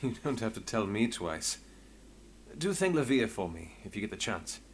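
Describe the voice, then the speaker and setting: An actor playing a composed, wry investigator with a measured, polite manner in a fully voiced video game. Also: male voice, fairly low pitch, sing-song, slight background noise. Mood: wry, reflective. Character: composed male investigator, former street thief, wry and courteous. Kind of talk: scripted video game voice acting.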